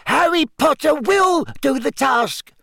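A man voicing a small, eager male house elf in an audiobook, squeaky, earnest and deferential, speaking of himself in the third person. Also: expressive, takes it slowly, gravelly, pushed and bright, no room sound, clean studio sound, full character voice. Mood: urgent, squeaky. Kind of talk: audiobook character acting.

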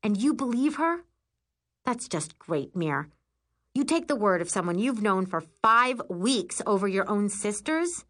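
A woman's voice: medium pitch, very animated, measured pace. A woman voicing a scornful teenage older sister in an audiobook, indignant and dramatic.

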